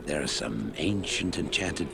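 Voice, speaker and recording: A deep, wry, cynical townsman. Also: lively intonation, measured pace, vocal fry, full character voice. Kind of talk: scripted fantasy game dialogue. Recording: music behind the voice.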